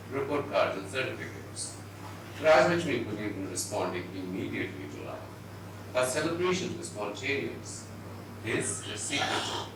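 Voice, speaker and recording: Male narrator for live literary reading: lowish, animated pitch, measured dramatic phrasing, heard far off in a reverberant room. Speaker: reader at a book event. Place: indoor event venue before an audience. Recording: very echoey distant hall, noisy.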